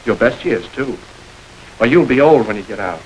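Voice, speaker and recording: An actor playing a cool gang boss. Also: male voice, medium pitch, lively intonation, moderate pace, slight background noise, close to an everyday voice, poor audio. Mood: calm authority. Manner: cool, controlled, quietly commanding. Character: smooth calculating gang boss, man. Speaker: gang chief. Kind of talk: 1930s film acting.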